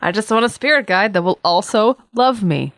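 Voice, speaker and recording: A woman's voice: medium pitch, expressive, normal speed, a bit bright, no echo, studio quality. A woman co-hosting a comedy podcast, explaining and bantering in a wry, irreverent way. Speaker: podcast co-host.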